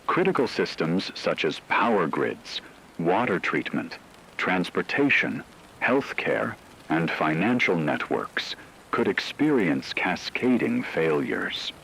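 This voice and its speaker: Guy playing a grave radio newsman, low voice, formal and measured, bit of static rain noise under it. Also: big pitch swings, creaky, a bit bright, exaggerated voice, rough recording. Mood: grave, urgent. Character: grave male radio news anchor reporting a global crisis. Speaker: radio news co-anchor. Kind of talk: scripted radio news broadcast.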